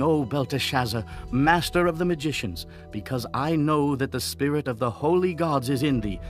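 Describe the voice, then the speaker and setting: A proud ancient king, played by a male actor, speaks over prominent music. His voice sits slightly high, and his delivery is imperious and declamatory, in the archaic English of scripture drama. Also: lightly acted. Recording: music bed under the voice.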